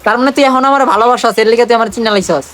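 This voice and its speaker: A man's voice: extremely high pitch, rapid-fire, music in the background. A young man with a Bangladeshi accent chatting casually as a vlogger, in a teasing, slangy way. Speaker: vlogger making prank calls. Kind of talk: casual vlog chat to viewers and on calls.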